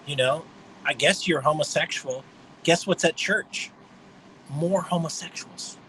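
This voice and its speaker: Fifty-something guy w a high bright voice, livestreaming, blunt and mocking, rambles on, faint hum behind. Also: unhurried, poor audio. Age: 50s. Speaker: livestream host.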